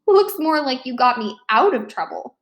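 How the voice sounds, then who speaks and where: A woman's voice: high-pitched, big pitch swings, moderate pace. A woman voicing a cool, sincere, athletic middle-school boy in a storybook read-aloud. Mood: sincere, impressed.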